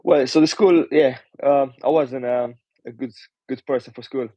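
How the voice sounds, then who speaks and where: A man's voice: mid-range voice, fairly even intonation, moderate pace, no echo. A Romanian-born man in his twenties, a pro boxer, answering casually with frequent hesitations and "do you know". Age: 20s. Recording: dull muffled audio.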